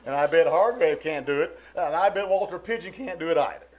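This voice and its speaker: A man's voice: on the high side, a little fast, close to an everyday voice. A man playing a pompous, jealous, easily flustered middle-aged businessman in a 1940s radio comedy style. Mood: flattered. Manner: blustering, sputtering.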